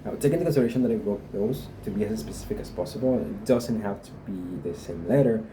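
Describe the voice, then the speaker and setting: A man's voice: fairly low pitch, expressive, quick pace. A young man from Guatemala, talking casually and hesitantly to camera about his visa process, with many fillers. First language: Spanish. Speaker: vlogger sharing a visa experience. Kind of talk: casual unscripted talk to camera. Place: a home in Spain. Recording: noisy room with a dog barking.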